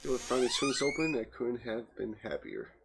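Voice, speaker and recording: A man's voice: mid-range voice, sing-song, normal speed, vocal fry, background noise. A man hosting a let's play, reading story narration aloud with some dramatic emphasis and casual commentary. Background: loud game music.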